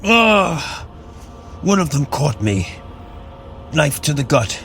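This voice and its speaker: A man's voice: fairly high pitch, sing-song, takes it slowly, a bit bright, faint music behind, exaggerated voice. A man playing a haunted, battle-hardened army captain, narrating occult horrors in a brooding, theatrical way with clipped dramatic phrases in an audio drama. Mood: violent, intense. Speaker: voice actor.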